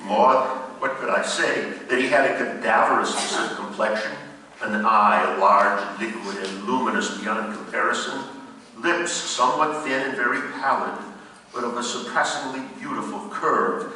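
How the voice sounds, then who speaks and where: An actor playing a grave visiting friend who narrates a gothic radio play in measured, literary, dramatic speech. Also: male voice, mid-range voice, steady tone, sharp tone. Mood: uneasy, brooding. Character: Devon, grave male narrator and visiting old friend of Roderick. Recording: very echoey hall, distant mic, faint noise.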